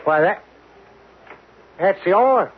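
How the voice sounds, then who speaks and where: An actor playing a deferential, defensive rig hand in a radio drama, hesitant and protesting. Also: male voice, high-pitched, expressive, slow, breathy edge, heavily acted. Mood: flustered. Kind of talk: old-time radio drama acting. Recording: muffled, crackly old radio recording.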